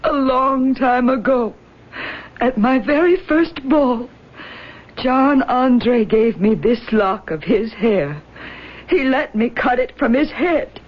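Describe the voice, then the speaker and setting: A woman's voice: high-pitched, expressive, slow pace, full character voice. An actress playing a distraught, abandoned young mother with theatrical, pleading grief in an old radio drama. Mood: tearful, desperate. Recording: muffled old radio broadcast recording.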